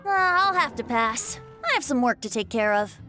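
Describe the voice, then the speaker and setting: A woman's voice: fairly high pitch, sing-song, moderate pace, sharp tone. A woman voicing a playful, easygoing young male bard in a video game cutscene, with a teasing, lilting, theatrical delivery. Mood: cheerful teasing. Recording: background music under voice.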